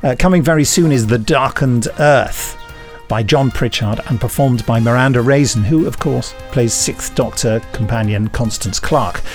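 Guy hosting a podcast, jokey and a bit theatrical, avg pitch, bit of music under him. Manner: playful, jokey, theatrical when reading promos. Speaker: podcast host. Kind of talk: chatty scripted presenting with banter. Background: music bed.

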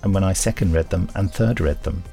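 A man's voice: low voice, a little fast, gravelly, dark tone, faint music behind. A man co-hosting a podcast, playful and enthusiastic in casual chat. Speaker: podcast co-host and actor.

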